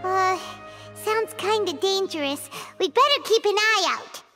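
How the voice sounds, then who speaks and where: A woman voicing a tiny, childlike female fairy companion in a video game, squeaky and cheerful. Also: unhurried. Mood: uneasy. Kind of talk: scripted video game dialogue. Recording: music behind the voice.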